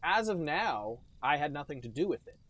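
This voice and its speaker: Male podcast host, slightly high voice, sarcastic and hammy, casual comic chat. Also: big pitch swings, moderate pace, fairly bright tone, dry recording. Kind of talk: casual comedic banter.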